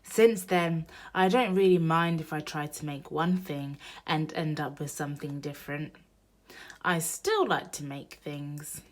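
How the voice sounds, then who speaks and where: A woman reading a children's picture book aloud in an expressive storytelling manner meant for young listeners. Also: deep voice, measured pace. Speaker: storybook reader.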